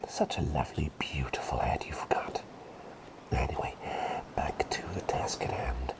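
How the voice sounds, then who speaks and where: A man playing a gentle, forgetful, whimsical plague doctor in a soft ASMR roleplay, speaking in a breathy whisper with polite, hesitant rambling. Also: a bass voice, unhurried, low-quality recording. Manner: rambling, polite, hesitant, repeats phrases softly.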